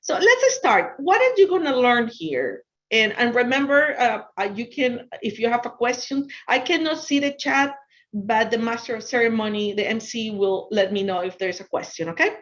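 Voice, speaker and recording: A woman with an Ecuadorian Spanish accent and a fairly high voice presents to students over a video call. A native Spanish speaker, she is encouraging and repeats phrases for emphasis. The sound is somewhat dull and lo-fi. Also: lively intonation, moderate pace. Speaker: guest speaker, financial consultant.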